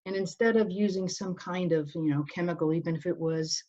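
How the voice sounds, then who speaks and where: A woman's voice: lowish voice, fairly even intonation, brisk, dark tone. A middle-aged woman from Northern Ireland, telling her story earnestly as an interview guest. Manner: earnest, storytelling, many restarts. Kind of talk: conversational interview. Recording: dull, lo-fi.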